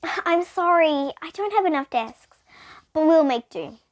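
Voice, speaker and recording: Woman playing high-voiced, welcoming lady teacher. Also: lively intonation, unhurried, no room sound, lo-fi sound. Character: welcoming woman teacher sheltering scared children.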